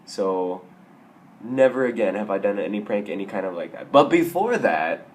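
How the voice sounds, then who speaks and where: A young man with a raspy, hoarse voice from a sore throat, telling stories in a casual, self-mocking vlog. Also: fairly low pitch, animated, normal speed, a little hiss or hum. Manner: self-mocking, wry, rambling with restarts. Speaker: vlogger.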